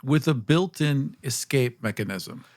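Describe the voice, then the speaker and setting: A man's voice: mid-range voice, expressive, unhurried, darkish tone. A man hosting a podcast, talking casually as he asks questions and muses along in discussion. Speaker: podcast host.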